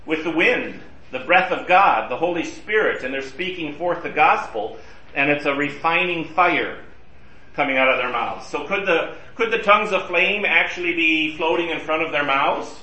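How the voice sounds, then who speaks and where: A man's voice: on the high side, expressive, normal speed, sharp tone. A man teaching a Bible study class in a conversational way, asking his group questions. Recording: roomy and distant from the mic.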